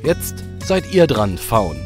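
A man playing a deep-voiced, gruff, hard-drinking innkeeper with a mocking streak in a fantasy audio drama. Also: sing-song, slow pace, over music. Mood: matter-of-fact.

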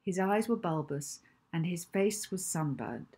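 A woman's voice: lowish voice, animated, measured pace, darkish tone, husky. A woman, narrating a children's novel expressively. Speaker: author reading own children's novel.

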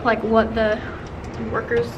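A woman's voice: on the high side, completely flat delivery, slow, highs cut off, noisy background. Bubbly young woman vlogging to camera. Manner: chatty, bubbly, rambling with fillers. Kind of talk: casual vlog to camera. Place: hotel room and busy convention grounds. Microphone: handheld camera at arm's length.

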